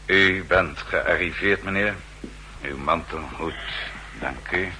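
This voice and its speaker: An actor playing a courteous, deferential manservant in a 1960s radio drama. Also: male voice, low voice, slow pace, pushed and bright, full character voice. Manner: deferential, proper. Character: courteous, formal male house servant. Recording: faintly noisy 1960s radio recording.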